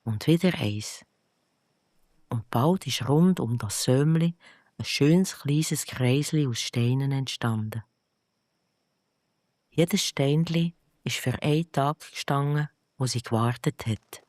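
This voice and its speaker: A bedtime storyteller with a Swiss German accent reads at an unhurried pace. The delivery is gentle and deliberate, made for children, and the recording is close and dry. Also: mid-range voice, dry recording. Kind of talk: scripted narration of a bedtime story.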